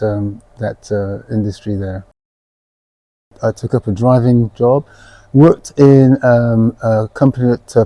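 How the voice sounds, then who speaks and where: A man born in Dominica and raised in England, recalling his life in an interview with a halting, hesitant delivery. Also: medium pitch, warm tone, no room sound, low-quality recording. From born in Dominica, raised in England from age four. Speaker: local resident interviewee. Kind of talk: personal reminiscence in an interview.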